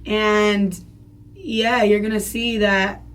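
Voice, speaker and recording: A woman with a rough voice, a radio personality and club MC, chatting casually in a blunt, slangy way and swearing freely. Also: medium pitch, little pitch movement, slow. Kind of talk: casual chat. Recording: voice a bit distant from the mic.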